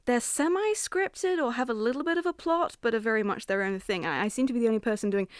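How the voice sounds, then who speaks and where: Woman from new zealand, fast giggly chatter, rambly, close dry radio sound. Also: on the high side, clean tone, no room sound. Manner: giggly, self-deprecating, rambling. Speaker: interview guest, audio drama writer. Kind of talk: casual chatty radio interview.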